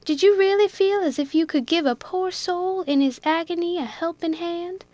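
A woman's voice: extremely high pitch, normal speed, darkish tone, no echo, pitched above the performer's own voice. A woman reading aloud, voicing an indignant male deputy steward who pleads and rails in a heated, strained manner.

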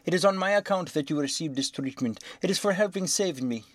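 A man's voice: a little high, lively intonation, brisk, no echo, pitched above the performer's own voice. A man voicing an earnest, self-sacrificing young lady who holds back emotion, in a storybook. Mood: pleading.

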